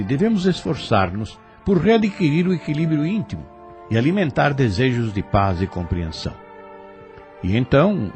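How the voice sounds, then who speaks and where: Commentator: Brazilian man, mid pitch, lively melody, formal teaching tone, faint music behind. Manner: formal, didactic. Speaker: commentator explaining the drama. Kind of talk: scripted spiritual commentary.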